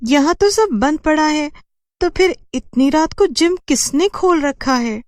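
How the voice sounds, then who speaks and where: Woman acting as shy young village woman, high lilting voice, earnest, hesitant, cartoon delivery. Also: expressive, measured pace, a little mellow, dry recording, clean studio sound. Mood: worried. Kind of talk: Hindi horror cartoon character voice.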